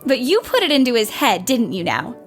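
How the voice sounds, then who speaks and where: Woman playing a high-voiced, spirited teenage girl. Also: a little fast, faint music behind. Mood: shyly friendly. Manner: eager, expressive. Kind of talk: audio play acting of a period novel.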